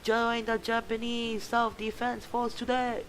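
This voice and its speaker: A man's voice: extremely high pitch, completely flat delivery, measured pace, a bit bright, a little hiss or hum, pitched above the performer's own voice, rough recording. A man voicing a fanatical, ruthless male soldier recruiting students and barking orders. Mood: bellowing.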